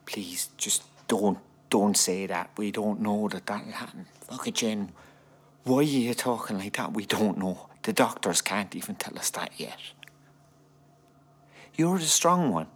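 A man playing a husky, gentle, anxious husband. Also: lowish voice, expressive, measured pace. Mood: pleading. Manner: soft-spoken and soothing, often close to a whisper. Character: gentle, anxious husband of a gravely ill wife. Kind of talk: naturalistic screen drama acting.

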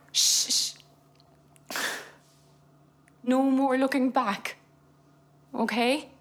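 An actress playing a seriously ill wife and mother who talks in a weary, blunt way that turns forceful and insistent. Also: fairly high pitch. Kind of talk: naturalistic screen drama acting.

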